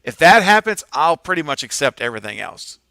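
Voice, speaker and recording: A man's voice: a little high, very animated, a little fast, creaky, bright, forceful tone, clean tone, dry recording. A man appearing as a guest on a live stream, giving his opinions at length in a playful, joking manner. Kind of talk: casual live-stream chat.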